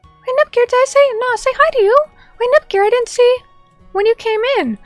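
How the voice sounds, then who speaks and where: A woman's voice: very high voice, fairly quick, no echo, faint music behind. A young woman streamer chatting casually and reading viewer comments, bubbly and chatty, greeting people by name.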